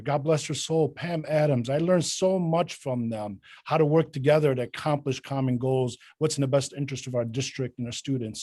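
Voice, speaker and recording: A mature man making an emphatic, self-promoting campaign pitch as a candidate at a forum. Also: mellow, no room sound. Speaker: mayoral candidate, realtor.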